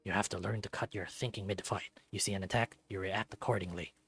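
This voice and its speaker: A man voicing a large male wolf who mentors fighters in a visual novel, steady and instructive. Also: low voice, quick pace, gravelly, husky, faint music behind, full character voice. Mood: stern teaching.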